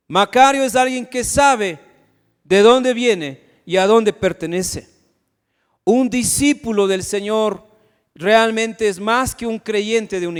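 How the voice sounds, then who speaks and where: A man's voice: sits high, smooth. A man from Ecuador, preaching a sermon as pastor and firing rhetorical questions at the congregation. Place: church sanctuary. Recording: long hall reverb.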